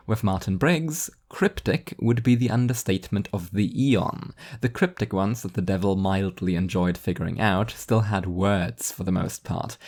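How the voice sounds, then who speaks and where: Narrator for a light novel, low register, dry and wry, reading scripted prose. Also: darkish tone. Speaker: author reading own story as narrator.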